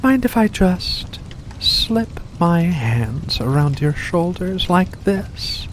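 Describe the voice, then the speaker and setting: A woman's voice: fairly low pitch, very animated, slow, slight background noise, rough recording. A woman voicing an ancient, seductive furry vampire in an ASMR roleplay, coaxing and genteel with veiled menace. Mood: coaxing, curious. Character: ancient predatory vampire with furry paws, seductive, faux-kindly. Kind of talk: ASMR roleplay addressed to the listener.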